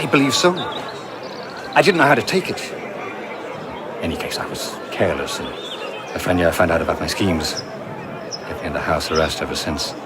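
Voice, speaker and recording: An actor playing a cautious, formal silversmith held captive, in a video game cutscene. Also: male voice, very deep voice, very noisy, heavily acted. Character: male captive silversmith, cautious, courteous, secretive.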